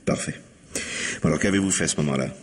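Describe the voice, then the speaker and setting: An actor playing a sceptical, insistent police investigator questioning a witness in an old French radio mystery. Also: male voice, a bass voice, fast, a little hiss or hum. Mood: brisk questioning. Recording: long hall-like reverb.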